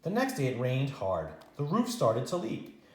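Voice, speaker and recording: A man reading a children's story aloud as a teacher, expressively and with dramatic pauses. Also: medium pitch, huge pitch swings, darkish tone, echoey room. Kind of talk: animated read-aloud storytime narration.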